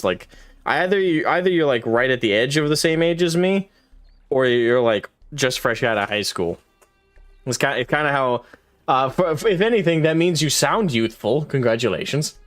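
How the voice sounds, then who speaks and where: Twenty-something male streamer, loose and jokey with chat, dry close voice, soft game music underneath. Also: mid-range voice, dry recording. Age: 20s. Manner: rambling, self-deprecating, laughs often. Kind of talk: casual live-stream chat, reading chat.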